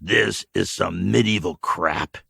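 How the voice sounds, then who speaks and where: A wry old man drawls calmly and deliberately, as a male narrator plays him. The tone is gravelly and husky. The recording is dry and close. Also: low voice, dry recording, heavily acted.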